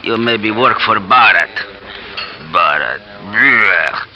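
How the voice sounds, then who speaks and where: An actor playing a gruff, suspicious immigrant steelworker in a radio drama, speaking blunt, clipped broken English. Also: male voice, on the low side, animated, takes it slowly. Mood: blunt, wary. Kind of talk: 1950s radio drama acting. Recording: noisy muffled old radio recording.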